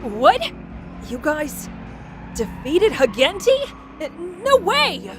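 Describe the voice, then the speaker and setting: A woman's voice: very high-pitched, very animated, slow, music in the background, heavily acted. Impish little demon boy, done by a woman, drawly and teasing, voice swoops around, audio drama. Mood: shocked. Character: impish winged demon boy, sly, teasing information broker.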